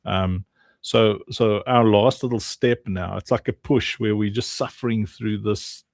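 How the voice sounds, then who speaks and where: A man's voice: on the low side, fairly even intonation, unhurried, gravelly, dry recording, studio quality. A man from Africa as an interview guest, talking about his charity work with many ums and restarts. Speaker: guest, charity and game founder. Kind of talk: interview answers.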